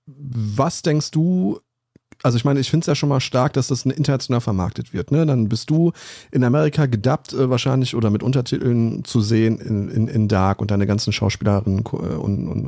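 A man's voice: medium pitch, vocal fry, mellow, no room sound, studio quality. A man hosting a podcast interview, asking long, winding questions and thanking his guest. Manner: asks long, rambling questions. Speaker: podcast host.

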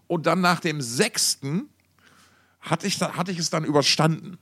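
A man chatting casually as a podcast co-host, excitable, often repeating words and cutting in. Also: on the high side, normal speed, no room sound, clean studio sound. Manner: excitable, stammering repetitions, interrupting. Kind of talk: casual podcast banter, anecdotes.

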